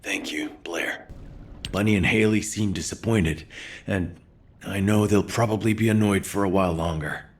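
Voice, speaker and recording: Low, husky, weary commander. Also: male voice. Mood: somber. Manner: somber, measured, reflective. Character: weary, conflicted male resistance commander.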